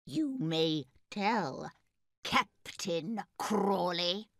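A man's voice: high voice, lively intonation, fairly bright tone, no echo, studio quality, pitched above the performer's own voice, full character voice. A man putting on a shrewd, scornful old lady. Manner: slow, laughing scorn.

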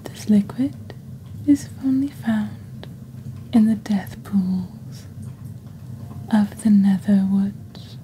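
A woman voicing a witch who hosts a potion shop in soft, whispery, breathy ASMR, speaking slowly and deliberately in a measured sales patter. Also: mid-range voice, low-quality recording. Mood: ominous, calm. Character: witch shop host peddling dark potions and poisons. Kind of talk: soft-spoken ASMR shopping-channel presentation.

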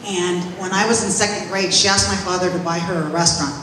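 Woman, loud voice, storytelling speech, echoey event hall, distant camera. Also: fairly low pitch, steady tone, fast talker, background noise. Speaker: restaurant owner speaking at event. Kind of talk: personal story speech to audience. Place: large reverberant event hall on stage. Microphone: handheld microphone, far camera.

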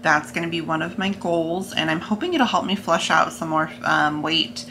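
A young American woman talks casually to camera in a bright, forward voice. Her pitch sits a little low. She is recorded indoors with faint noise behind her. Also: moderate pace. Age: young adult. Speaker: vlogger. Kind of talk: casual vlog talk to camera.

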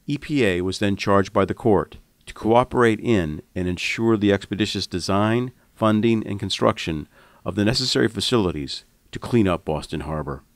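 A man reading scripted documentary narration in a formal, measured way. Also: fairly low pitch, no room sound.

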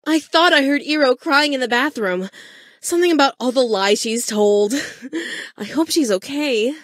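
A yandere high school girl from a video game. Her voice is fairly high and closely, dryly recorded. She sounds sugary sweet, with menace tucked under her false concern. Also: lively intonation, normal speed, dry recording, studio quality. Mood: fake sympathy. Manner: saccharine, insincere concern. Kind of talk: video game character voice lines.

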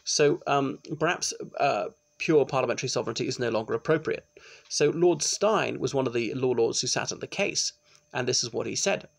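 A middle-aged man, giving an informal law lecture to his webcam in a small room and often pausing with ums. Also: mid-range voice, vocal fry. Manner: chatty, explanatory, with frequent ums and restarts. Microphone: laptop webcam, close framing.

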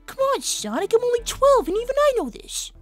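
A very high, lively voice of a twelve-year-old cartoon fox boy, played by a woman. He is a bright young inventor with an earnest, chiding manner. Music plays behind him. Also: fairly quick, no room sound. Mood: exasperated. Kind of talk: fan-made animated series voice acting.

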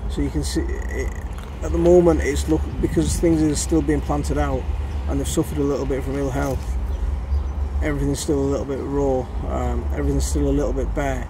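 Fast-talking man, noisy outdoors. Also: mid-range voice, level tone. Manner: enthusiastic, appreciative. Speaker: garden vlog presenter. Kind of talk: explanatory garden tour commentary, some translating. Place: open allotment garden, outdoors. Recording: noisy outdoor phone video.

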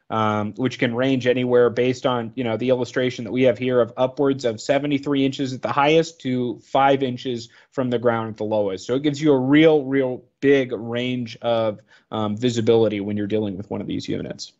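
A man's voice: mid-range voice, moderate pace, darkish tone, lo-fi sound. A man narrating an informal product training presentation in a conversational, explanatory way, thinking aloud as he goes.

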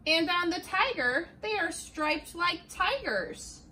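A middle-aged teacher reads a picture book aloud at an unhurried pace, her high, bright voice rising and falling in a lively storytime singsong. She is recorded in a classroom by a camera a few feet away, and a faint hum hangs behind her. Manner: slow, expressive storytime reading. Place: classroom, indoors.

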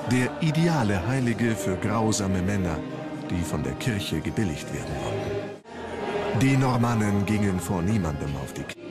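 A man reading scripted documentary narration in a measured storytelling manner. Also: lowish voice.

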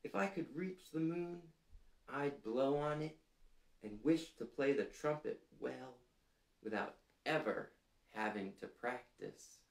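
A man in his thirties hosting a children's storytime, reading aloud and prompting listeners in a gentle, deliberate, clearly phrased way, seated in a small room. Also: mid-range voice, expressive. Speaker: storytime host reading picture books to children. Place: small furnished room indoors, seated on a couch. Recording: roomy indoor sound.

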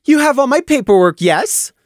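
A man doing a giddy, high-pitched pony mayor. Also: animated, no room sound, studio quality. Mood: bubbly bossy. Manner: bright, bossy, rapid-fire chatter. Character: bubbly, pushy female unicorn mayor.

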